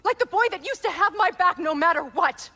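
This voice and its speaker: A woman voicing a proud, wounded and steadfast queen in a video game, measured and dramatic. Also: very high voice, expressive, sharp tone, heavily acted. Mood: indignant.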